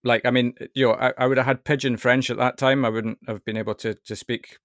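A man's voice: mid-range voice, little pitch movement, a little fast, fairly bright tone, dry recording, studio quality. A man with a Scottish accent chatting casually as an interviewer. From Scotland.

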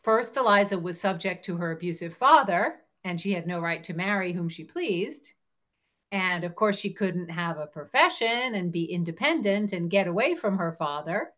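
A woman's voice: lowish voice, expressive, moderate pace, fairly bright tone, muffled recording. A woman co-hosting a show, explaining history in a discursive, educational way. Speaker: show co-host.

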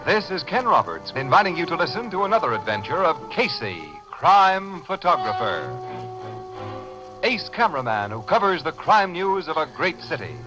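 Animated male radio announcer over music. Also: on the high side, poor audio. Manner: theatrical, deliberate phrasing. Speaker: announcer and narrator. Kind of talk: 1950 radio drama narration.

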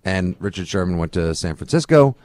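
A man's voice: sits low, animated, a little fast, a little mellow, no room sound, studio quality. A man co-hosting a sports podcast, chatting casually about football in a colloquial, emphatic way. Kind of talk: casual football analysis chat.

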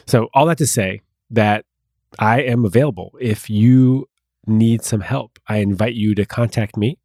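A man's voice: on the low side, unhurried, darkish tone, dry recording, studio quality. A man speaking conversationally as a podcast host and love coach, encouraging and direct.

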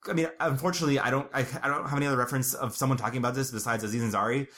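A man of middle pitch co-hosting a casual podcast chat. He rambles, circling his points with fillers and restarts. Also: fairly even intonation, rapid-fire, fairly bright tone. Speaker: podcast host.

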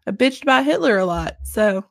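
A woman with a Southern US accent co-hosting a casual podcast, animated and quick to laugh. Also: mid-range voice, creaky. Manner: giggly, animated, laughs often. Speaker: podcast co-host. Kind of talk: casual podcast chat, livestreamed.

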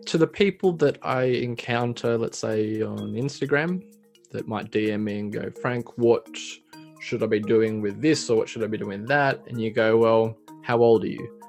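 A man's voice: lowish voice, moderate pace, gravelly, faint music behind. A man in his thirties, a small business owner, talking reflectively in a casual interview with many fillers. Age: 30s.

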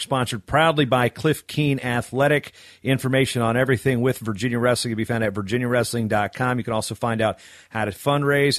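A man's voice: mid-range voice, fairly bright tone, dry recording, studio quality. A man hosting a wrestling podcast, delivering a scripted sign-off in an announcer manner. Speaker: podcast host. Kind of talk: scripted show outro.

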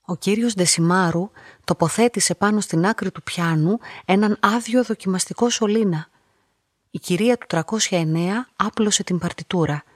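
A woman playing a lonely, submissive young narrator in a literary radio drama, speaking in a measured, introspective way. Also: medium pitch, no echo, clean studio sound, natural voice. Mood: quietly observant. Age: young adult. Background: music.

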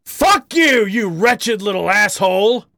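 A man voicing a bitter, volatile male badger who shouts in anguish and then turns weary. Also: high-pitched, lively intonation, slow pace, gravelly, dry recording, studio quality, pitched above the performer's own voice, heavily acted. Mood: furious.

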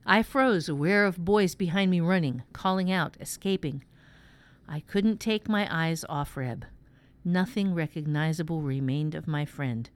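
Woman reading as steady, protective young storyteller, measured. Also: sits low. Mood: fearful. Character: first-person boy narrator, steady, protective dormitory pupil. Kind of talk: scripted fantasy story reading.

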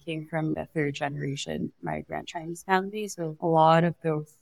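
A woman's voice: deep voice, level tone, normal speed, gravelly. A woman in her thirties from the Philippines, a startup CEO explaining her work in a podcast interview. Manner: articulate, explanatory, laughs easily.

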